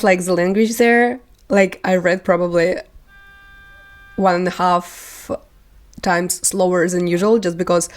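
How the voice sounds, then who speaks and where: A woman's voice: lowish voice, a little mellow. A woman whose first language is not English, chatting casually about books on her vlog, rambling and laughing often. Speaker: book vlogger. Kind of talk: casual chat.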